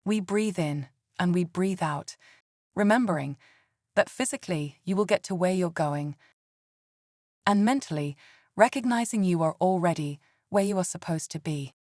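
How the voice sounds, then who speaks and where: A woman leading a scripted guided meditation in a calm, soothing and gentle way. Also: lowish voice, normal speed, dry recording, studio quality.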